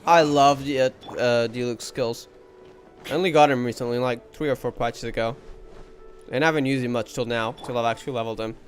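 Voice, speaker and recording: A man's voice: medium pitch, fairly even intonation, brisk. A man giving casual running commentary as he plays through a video game. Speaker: gamer commentating on playthrough. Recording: faint game music behind.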